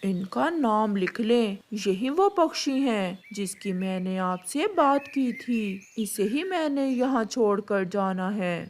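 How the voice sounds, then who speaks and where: A woman's voice: mid-range voice, expressive, slow, few pauses, darkish tone, slight background noise. A woman voicing a meek, troubled young crow son in a cartoon story, hesitant and submissive. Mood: reluctant. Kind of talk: Hindi cartoon story acting.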